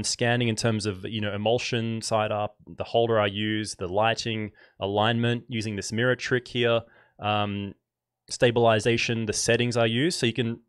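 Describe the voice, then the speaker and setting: Male tutorial streamer, thirties, casual explanatory delivery, mid-pitched and slightly nasal, dry dynamic-mic sound. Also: dry recording. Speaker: host of a live photography tutorial stream. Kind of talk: casual live demo and explanation. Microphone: dynamic microphone on a stand.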